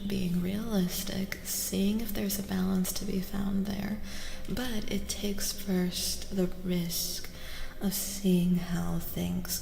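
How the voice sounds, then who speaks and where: A woman giving a soft-spoken ASMR tarot reading, hushed and gentle, often slipping into whispers and softly repeating reassurances. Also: medium pitch, vocal fry, reverberant, big-room sound, a little hiss or hum.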